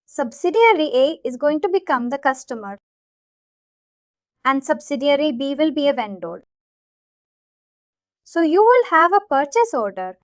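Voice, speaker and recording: A woman's voice: high-pitched, very animated, measured pace, pauses often, dry recording, muffled recording. A woman trainer explaining a concept to learners step by step, repeating herself and checking that they follow. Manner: repetitive, checks understanding often. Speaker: software trainer.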